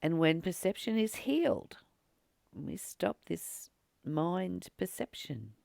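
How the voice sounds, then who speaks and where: This spiritual teacher speaks at a very unhurried pace, with plenty of fry in a mid-pitched voice. The delivery is earnest and reflective, breaking thoughts into short, deliberate phrases. The sound is close and dry. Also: animated, darkish tone, no room sound. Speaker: spiritual teacher reading and commenting on a text. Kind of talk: reflective spiritual study, reading aloud with personal commentary.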